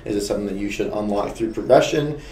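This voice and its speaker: A man with a gravelly, injured-sounding voice, chatting candidly as a co-host on a game developer stream. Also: lowish voice, quick pace, darkish tone, reverberant, slight background noise. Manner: candid, rambling with restarts. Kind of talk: casual dev stream chat.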